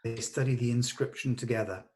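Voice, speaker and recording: A man's voice: medium pitch, fairly even intonation, a little fast, darkish tone. A man giving a scripted narration of screenplay stage directions in a read-through over a video call. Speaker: reader of stage directions, running the rehearsal.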